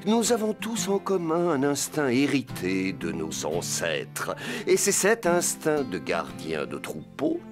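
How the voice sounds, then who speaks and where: A man's voice: mid-range voice, huge pitch swings, takes it slowly. A man voicing a wise, patient collie dog who explains things in a French cartoon dub. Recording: music behind.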